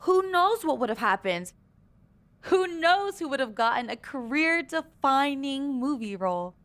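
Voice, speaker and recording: Scrappy, slower-paced high-voiced woman wrestler. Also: very animated, fairly bright tone, no room sound, exaggerated voice. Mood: bitter, needling. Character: scrappy, persistent young woman wrestler with big ambitions. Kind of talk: scripted video game cutscene acting.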